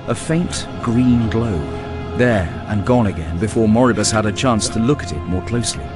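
Lowish male audiobook narrator, grave dramatic fantasy reading, music clearly behind him. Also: moderate pace.

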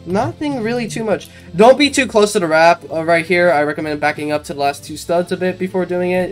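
A casual game commentator talking through a walkthrough in an informal way, with self-mocking asides. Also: medium pitch. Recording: music behind the voice. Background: game music.

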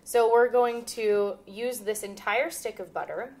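A woman's voice: on the high side, fairly even intonation, a little slow, darkish tone. A woman in her thirties hosting a cooking show, giving upbeat, chatty instructions and laughing often in a home kitchen. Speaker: cooking show host and teacher. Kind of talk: casual cooking demonstration.

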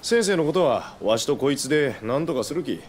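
A man's voice: medium pitch, a little fast, a little hiss or hum, close to an everyday voice. A man playing a hearty, impulsive young samurai with a rustic Tosa accent in a Japanese video game. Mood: eager. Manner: rustic, familiar, expressive.

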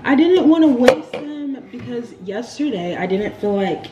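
A young woman vlogging from her home kitchen, chatting playfully to viewers as she makes a smoothie. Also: a little high, expressive, measured pace, lo-fi sound. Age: young adult. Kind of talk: casual vlog chat to camera. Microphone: camera on the counter. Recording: echoey kitchen with household noise.

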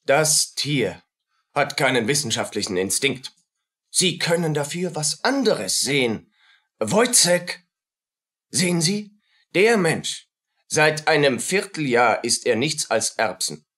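An actor playing a callous, pompous doctor who declaims pedantically to students in a German radio play. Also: male voice, a little high, a bit bright, full character voice.

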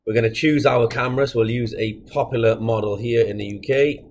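A man in his thirties giving a casual software tutorial, explaining each step in a plain, informal way as a product demonstrator. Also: medium pitch, fairly even intonation, fairly quick, a little hiss or hum. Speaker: product demonstrator for a security equipment supplier.

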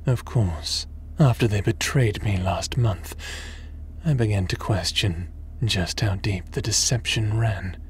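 Sci-fi villain, male, played by an actor: calculating crime boss, deep, unhurried, smugly menacing, faint noise under the voice. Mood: smug, gloating. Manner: menacing, measured, smug, theatrical. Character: cold, scheming male crime boss craving power. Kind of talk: sci-fi audio drama acting.

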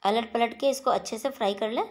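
A woman hosting a home cooking channel, talking viewers through a recipe step by step in a steady instructional voice-over. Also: fairly high pitch, quick pace.

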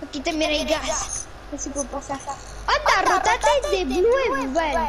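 A woman's voice: high-pitched, sing-song, unhurried. A woman with a Spain Spanish accent chatting casually with a teammate while playing a video game. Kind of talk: casual gaming chat with a teammate. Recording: very noisy game voice chat.

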